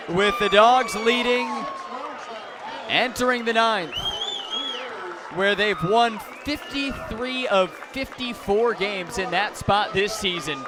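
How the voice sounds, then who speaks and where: High-pitched male baseball announcer over crowd. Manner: deliberate phrasing, swelling to shouts on plays. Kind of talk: live radio sports commentary. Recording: noisy outdoor ballpark with crowd. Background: ballpark crowd noise.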